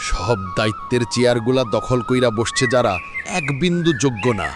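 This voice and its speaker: Casting: male actor for grave, opinionated cartoon villager, mid-pitched, clear music behind. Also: lively intonation, a little fast, lightly acted. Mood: grave, indignant. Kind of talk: acted cartoon dialogue.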